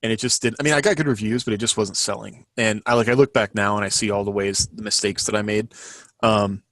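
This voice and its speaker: A man chats informally as a podcast co-host and fellow author. His voice sits a little low, and the recording is dry with hardly any room sound. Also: fairly even intonation, fast talker, a bit bright, no room sound. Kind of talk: casual interview chat.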